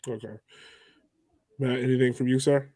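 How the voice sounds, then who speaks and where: A man's voice: mid-range voice, little pitch movement, normal speed, mellow, no echo, clean studio sound. A man hosting a sports podcast in a casual, chatty style. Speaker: podcast host.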